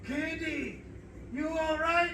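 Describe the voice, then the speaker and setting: Echoey stage sound, actor as tough male cop, piercing and forceful, slow, sardonic, commanding, radio drama. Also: very high-pitched, fairly even intonation, exaggerated voice. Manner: calling out loudly, deliberate. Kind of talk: old-time radio crime drama acting. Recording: roomy distant stage recording, faint noise.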